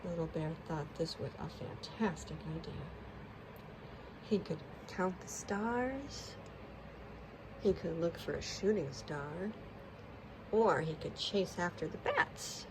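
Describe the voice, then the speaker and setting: A woman narrates a children's storybook with expression. Her pace is very slow and her pitch a little low. The recording carries clear background noise. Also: expressive.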